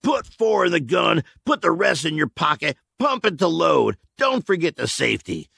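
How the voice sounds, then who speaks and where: A man's voice: on the high side, very animated, moderate pace, creaky, fairly bright tone, husky, no room sound, clean studio sound, pitched above the performer's own voice, heavily acted. Man voicing a barking militia commander. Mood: urgent. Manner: barking urgent orders. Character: gruff male militia leader, commanding.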